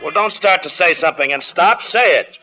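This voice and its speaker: A brooding, touchy jobless husband. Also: male voice, fairly high pitch, lively intonation, brisk, sharp tone, faint music behind. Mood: defensive. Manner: bitter, sullen, flaring up. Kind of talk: 1940s radio drama acting. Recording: dull old radio broadcast with faint hiss.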